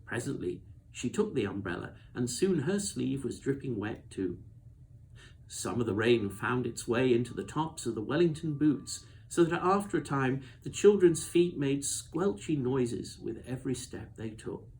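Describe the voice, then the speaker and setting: A man narrates a children's storybook in an expressive read-aloud style. His voice sits at a middle pitch, and there is some background noise on the recording. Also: a little slow, low-quality recording.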